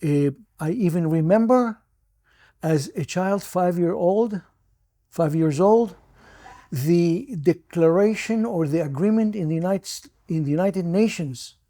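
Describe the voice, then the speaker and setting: An older man born in Iraq, whose first language is Judeo-Arabic, recalling his childhood as an interview guest in halting speech full of restarts. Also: high-pitched, mellow. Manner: halting, many restarts and repeated words. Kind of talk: personal recollection.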